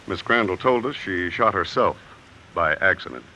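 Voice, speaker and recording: An actor playing a shrewd, easygoing police lieutenant in a 1950s radio drama, relaxed and drawling. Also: male voice, deep voice, normal speed, very noisy.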